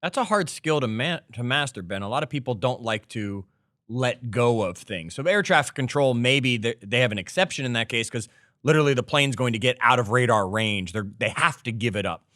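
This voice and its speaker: Fast-talking male podcast co-host, dry sound. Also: mid-range voice, animated, sharp tone, no echo. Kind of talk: casual discussion, prompting the host.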